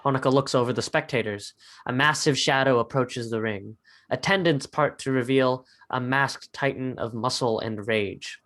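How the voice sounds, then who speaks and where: A man's voice: medium pitch, normal speed, a bit bright. Man narrating screenplay stage directions. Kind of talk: scripted narration, dramatic table read.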